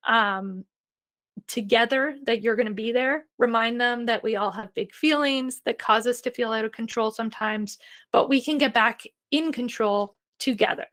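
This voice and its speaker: A woman's voice: a little high, normal speed, a bit bright. A woman presenting a homeschooling webinar in a reassuring, chatty way, with frequent fillers. She is starting to lose her voice. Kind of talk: informal instructional webinar talk.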